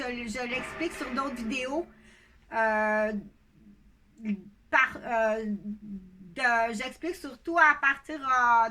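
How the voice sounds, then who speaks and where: A woman's voice: high-pitched, pushed and bright. A woman in her fifties with a Québécois accent, talking casually to her viewers in a hesitant, rambling way full of filler sounds. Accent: Québécois French. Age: 50s. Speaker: video blogger. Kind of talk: casual talk to camera.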